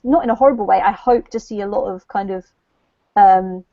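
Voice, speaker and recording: A woman's voice: medium pitch, brisk, gravelly, no echo. A woman co-hosting a casual book discussion livestream, thinking aloud and hedging as she chats. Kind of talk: casual chat. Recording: dull, lo-fi livestream audio.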